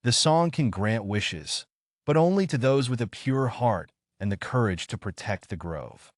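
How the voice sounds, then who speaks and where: A man voicing a wise, kindly old forest guardian in a bedtime story, gentle and solemn in his measured delivery. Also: medium pitch, lively intonation, no room sound, studio quality, close to an everyday voice. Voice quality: soft, gentle.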